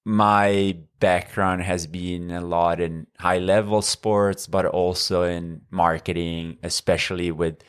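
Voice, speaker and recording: A man in his thirties from Northern Italy, speaking English with an Italian accent as a podcast guest, measured and full of fillers. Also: sits low, steady tone, smooth. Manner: measured, with frequent fillers and restarts. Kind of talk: conversational interview.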